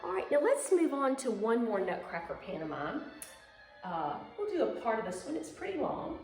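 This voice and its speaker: A woman's voice: on the high side, big pitch swings, moderate pace, a little mellow, faint music behind. A woman giving an instructional dance lesson on video, explaining simply step by step and prompting pupils with encouragement. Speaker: dance teacher. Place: indoor room at home. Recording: very echoey room.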